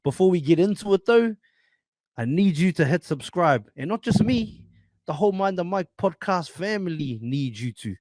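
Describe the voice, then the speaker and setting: A man's voice: high voice, expressive, moderate pace, a little mellow, dry recording. A man hosting a podcast in a casual, friendly way, calling people "brother". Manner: friendly, calls listeners and guest "fam" and "brother". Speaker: podcast host.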